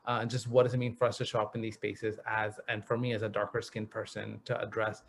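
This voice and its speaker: A man speaking on a video panel, thoughtful and reflective, often pausing to find the right words. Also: on the low side, flat delivery, creaky. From grew up in the US Northeast. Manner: thoughtful, searching for words, frequent restarts. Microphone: video call.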